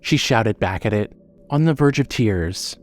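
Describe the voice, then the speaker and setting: A man narrating a scripted horror story as storyteller, measured and suspenseful. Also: fairly low pitch, expressive, faint music behind.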